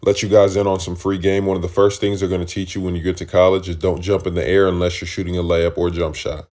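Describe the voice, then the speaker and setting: A man's voice: low-pitched, flat delivery, fast, darkish tone. A man reading scripted voice-over with coaching tips, instructive in tone. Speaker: basketball coach narrator.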